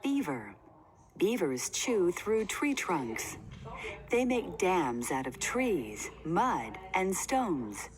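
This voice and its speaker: A woman reading a children's nonfiction book aloud in a deliberate, simply phrased way for young listeners. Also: fairly low pitch, very animated, faint music behind. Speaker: read-aloud narrator. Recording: faint background noise.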